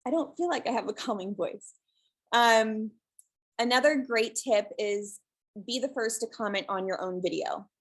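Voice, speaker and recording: A woman from the presenting in a webinar over a video call, soft and relaxed, with many pauses and ums. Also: on the high side. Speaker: guest presenter, shelter social media creator.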